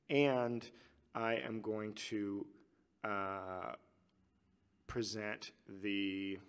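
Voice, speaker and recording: A man hosting a live training stream, chatting loosely with viewers and reading their chat aloud. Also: medium pitch. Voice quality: gravelly. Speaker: livestream host.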